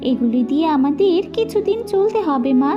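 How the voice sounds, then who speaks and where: A woman's voice: high-pitched, lively intonation, moderate pace, highs cut off, music in the background. A woman voicing a poor, devout cartoon mother who is tender and patient, soothing with endearments. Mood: tender, sorrowful.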